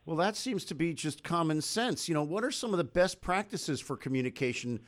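A man hosts a live business talk show on the radio. He is upbeat and chatty with his guest. His voice sits quite high for a man. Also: animated, brisk.

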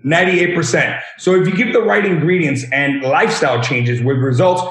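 A man's voice: a little high, a little fast, fairly bright tone. A man in his thirties from Miami, explaining earnestly as an interview guest. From Miami, Florida; born in Venezuela. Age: 30s. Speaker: guest, age management practitioner. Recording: roomy, echoey sound.

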